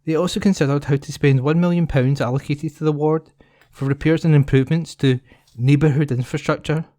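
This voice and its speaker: A man's voice: on the high side, steady tone, a little fast, dark tone, dry recording, studio quality. A man reading local newspaper articles aloud as a volunteer. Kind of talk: scripted news reading for a talking newspaper.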